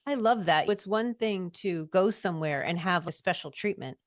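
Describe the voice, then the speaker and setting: A woman hosting a spiritual podcast, gentle and earnest in how she talks. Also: fairly low pitch, moderate pace, no echo. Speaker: podcast host and medium. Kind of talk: podcast interview.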